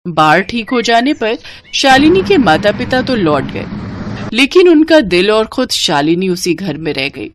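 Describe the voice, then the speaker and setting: A woman narrating a scripted moral story as an expressive storyteller. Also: mid-range voice, measured pace, a little hiss or hum.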